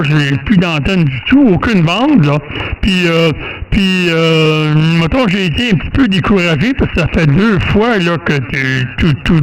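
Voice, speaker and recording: A man's voice: high voice, a little hiss or hum, low-quality recording. A man with a Quebec French accent chatting casually as an amateur radio operator, colloquial and repetitive.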